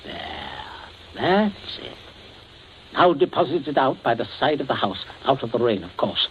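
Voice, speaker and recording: A man's voice: medium pitch, very animated, a little slow. A man acting in a 1940s radio drama as a coolly menacing, domineering father, clipped and blandly courteous. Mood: cold, commanding. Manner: clipped, commanding, blandly courteous while threatening. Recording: muffled, hissy 1940s radio broadcast.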